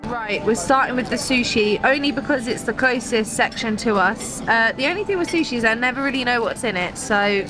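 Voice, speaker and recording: A woman's voice: a little high, fairly quick, hardly any pauses, music in the background. A young British woman in her twenties, chatting enthusiastically to camera as a food vlogger in a big mall buffet restaurant. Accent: British English. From Britain. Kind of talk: casual vlog chat to camera.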